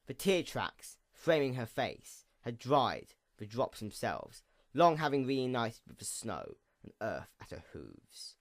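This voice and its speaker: Male storyteller for dramatic fantasy narration; highly animated pitch swings, mid-range voice, deliberate phrasing. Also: vocal fry.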